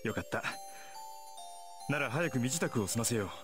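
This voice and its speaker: Casting: male actor as easygoing, soft-spoken middle-aged man for a Japanese game, native Japanese, lively inflection, kind to children. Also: medium pitch, quick pace, natural voice. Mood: lighthearted. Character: gentle, scruffy, easygoing man speaking kindly to a child. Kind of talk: Japanese visual novel voice acting. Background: music.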